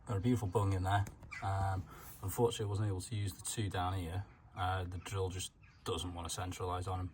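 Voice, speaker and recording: Male DIY mechanic vlogger, low and monotone, informal step-by-step delivery, noticeable background noise. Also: fast, hardly any pauses. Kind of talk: casual hands-on tutorial narration.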